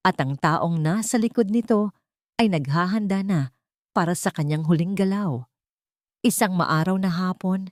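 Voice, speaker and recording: A woman narrating a scripted drama as a storyteller, in a measured, suspenseful way with dramatic pauses. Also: on the low side, darkish tone, dry recording, studio quality.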